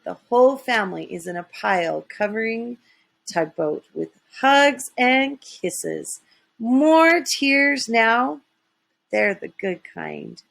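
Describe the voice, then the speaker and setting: Animated, unhurried woman reading a children's story. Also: mid-range voice, creaky. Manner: dramatic, emphatic. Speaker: storybook reader. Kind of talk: expressive children's story narration.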